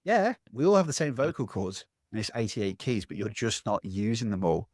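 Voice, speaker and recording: A man, a public speaking coach giving a lively interview answer from home, acting out voices as he talks. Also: on the low side, moderate pace, dry recording, clean studio sound. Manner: animated, acts out other people's voices.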